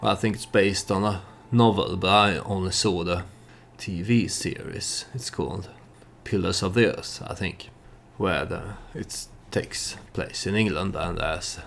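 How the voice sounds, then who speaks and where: A man hosts a storytelling let's play in a very low voice with plenty of vocal fry. He thinks aloud in a halting, hesitant way as he comments on the game. A faint layer of noise sits behind him. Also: fairly bright tone.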